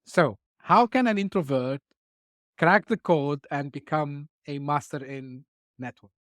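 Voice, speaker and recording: Slow-spoken podcast host, dry sound. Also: male voice, fairly high pitch, no room sound. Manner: slow, measured, with fillers. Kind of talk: interview questions.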